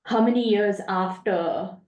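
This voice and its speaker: A woman's voice: mid-range voice, measured pace, darkish tone, distant mic. A woman casually asking a question in a group discussion, tentative, with stammered restarts. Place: indoor room at a group meeting. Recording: dull echoey room, off mic.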